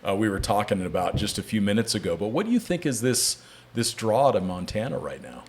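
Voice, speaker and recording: A man's voice: fairly low pitch, very animated, fairly quick, slight background noise. A man hosting a podcast, interviewing his guest and reading the sponsor message. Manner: friendly, brief affirmations while listening. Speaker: podcast host. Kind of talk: podcast interview and host-read ad.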